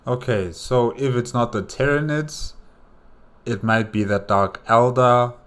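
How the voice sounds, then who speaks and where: A man's voice: mid-range voice, measured pace, a bit bright, clear, resonant tone, soft background music. A man hosting a reaction video, giving casual commentary as he thinks aloud, hesitantly musing and asking himself questions.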